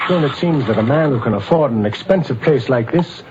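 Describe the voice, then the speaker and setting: A man's voice: mid-range voice, lively intonation, a little fast, natural voice. Eager sidekick guy, an actor doing him, folksy, old dull hissy broadcast. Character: loyal, eager male sidekick and driver. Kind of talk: 1940s radio acting.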